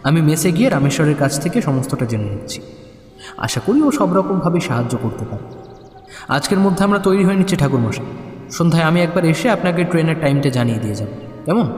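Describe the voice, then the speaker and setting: An actor playing an earnest, loyal, persuasive friend in a dramatised audio horror story. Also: male voice, on the high side, sing-song, fast, a little mellow. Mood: reassuring. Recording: echoey reverb, music underneath.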